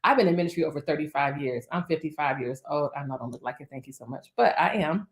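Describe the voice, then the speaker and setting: Woman, fifties, minister, lower pitch, rhythmic preaching, roomy echo. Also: quick pace. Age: 50s. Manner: rhythmic preaching with repeated lists; chatty asides to viewers. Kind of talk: livestream prophetic decree. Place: office. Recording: echoey room.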